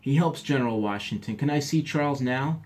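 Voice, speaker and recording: Man doing an earnest colonial kid from a storybook, polite, a bit raised in pitch. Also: a little fast, darkish tone, lightly acted. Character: earnest young colonial patriot boy.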